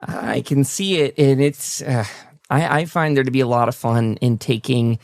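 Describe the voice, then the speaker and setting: A man's voice: mid-range voice, moderate pace, creaky, dry recording, studio quality. A man hosting a podcast interview in a casual, wordy, encouraging and enthusiastic way. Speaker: podcast host interviewing a guest.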